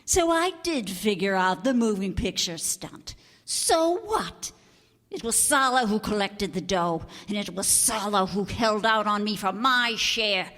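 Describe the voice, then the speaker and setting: A woman's voice: medium pitch, animated, measured pace, a bit bright, big-room sound. A woman acting a brassy, defiant con artist in an old-time radio detective drama, slangy and biting. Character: brassy, scornful female con artist and palm reader.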